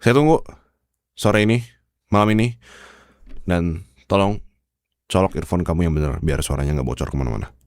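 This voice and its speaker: Guy acting as stern indonesian office boss with a fever, super deep voice, dry close sound, asmr roleplay. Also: normal speed, long pauses, a little mellow, no echo. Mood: stern, commanding. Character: stern, demanding, proud male office boss, sick with fever.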